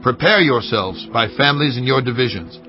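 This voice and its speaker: A man's voice: mid-range voice, expressive, a little fast, lightly acted. An actor playing an earnest, commanding ancient king in a dramatized scripture audio, with grave, declamatory delivery. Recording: slightly dull audio over faint music.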